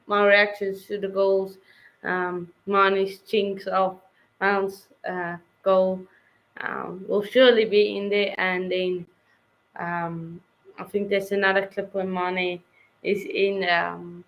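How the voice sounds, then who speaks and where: A woman hosting a casual sports livestream, talking hesitantly with many pauses while she gives updates and signs off. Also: mid-range voice, fairly bright tone, poor audio. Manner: hesitant, many fillers, wraps up the show. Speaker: stream host.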